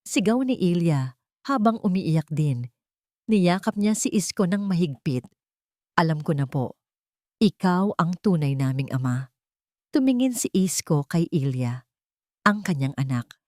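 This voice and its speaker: Woman, Filipino accent, lower-pitched voice, dry close sound, scripted storytelling, sentimental drama narration. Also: lively intonation, unhurried, long pauses, a little mellow, no echo.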